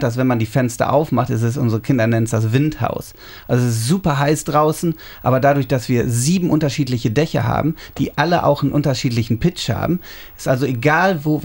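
A man in his thirties from Germany, calmly explaining details in a casual interview. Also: medium pitch. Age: 30s. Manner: explanatory, unhurried, describing details. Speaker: interviewee, homeowner.

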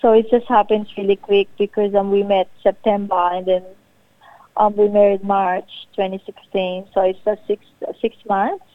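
A woman with a Filipino accent talks freely in a relaxed interview, filling pauses with "um" and laughing easily. Her voice comes through a narrow, tinny phone line. The sound is dry, with no room around it. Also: medium pitch, no echo. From Philippines. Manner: chatty, laughs often, many fillers. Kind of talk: casual interview.

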